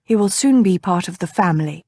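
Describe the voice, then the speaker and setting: A woman reading an audiobook, voicing a composed, restrained young lady in a measured tone. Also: lowish voice, expressive, darkish tone, no echo, studio quality.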